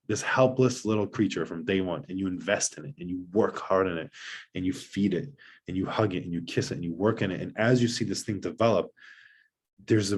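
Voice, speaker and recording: A man, a father of seven, guesting on a podcast and talking earnestly in long rushing runs of advice. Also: sits low, a little mellow, breathy edge. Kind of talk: conversational advice.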